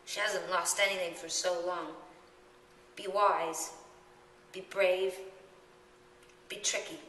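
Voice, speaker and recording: A woman voicing a solemn, anxious young boy in a storybook, in a hushed, earnest way. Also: on the low side, fairly even intonation, takes it slowly. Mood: urgent. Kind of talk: storybook reading. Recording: echoey room, distant mic, background noise.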